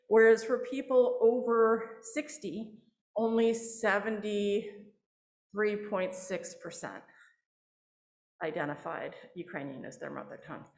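A mid-pitched woman delivers an academic talk to an audience, explaining step by step and often restarting her sentences. She speaks into a podium microphone. Her voice carries into an echoey lecture hall. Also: a little slow. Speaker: anthropology professor giving a public lecture. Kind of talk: academic presentation.

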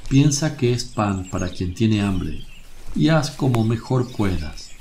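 Man acting serene holy teacher, radio drama, warm dark timbre, lowish pitch, gentle, solemn, reassuring. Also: expressive, slow. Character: serene, affectionate male master teacher, gently authoritative. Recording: noisy, birdsong ambience behind.

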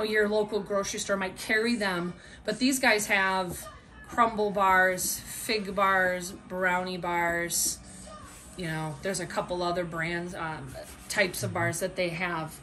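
A woman's voice: mid-range voice, a bit bright. Middle-aged woman giving a casual product review. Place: small room at home, indoors. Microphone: camera a short way off across a table.

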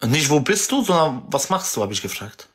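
A man's voice: fairly high pitch, big pitch swings, fairly quick, a bit bright, slightly rough. A twenty-three-year-old man hosting a live stream, chatty and teasing, turning aside to talk to his viewers. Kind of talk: casual live stream chat.

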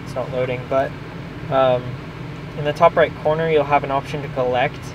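A man's voice: medium pitch, moderate pace, highs cut off. A young man casually narrating his mobile gameplay outdoors, with frequent ums and restarts. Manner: relaxed, many ums and restarts. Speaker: gaming vlogger. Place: outdoors near a restaurant and park. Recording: outdoor recording with loud traffic noise.

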